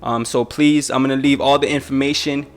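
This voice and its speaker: A young man hosting a podcast in a relaxed, casual way, with frequent "um" and "right?". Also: mid-range voice, a little fast. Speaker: podcast host. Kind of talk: casual interview chat.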